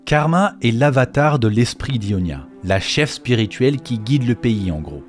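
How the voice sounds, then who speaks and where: Man, mid-pitched voice, scripted explanatory narration, video host, faint music behind. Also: sing-song, moderate pace. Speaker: YouTube host explaining game lore. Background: faint background music.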